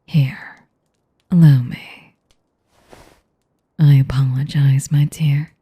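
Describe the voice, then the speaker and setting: Woman playing a dark, breathy, sadistic vampire lady. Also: a bass voice, steady tone, exaggerated voice. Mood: mock tenderness. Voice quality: breathy, whispery. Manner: slow, teasing, mock-polite, refined. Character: sadistic, elegant, manipulative female vampire noble. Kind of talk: intimate audio roleplay, ASMR-like.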